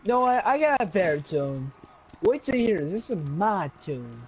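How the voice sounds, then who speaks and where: A man's voice: high voice, big pitch swings, normal speed. A young man hanging out on a stream, joking and half-singing along to songs. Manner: playful, sings song lyrics loosely. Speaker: friend on the stream. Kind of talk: casual chat, singing along to music. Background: music.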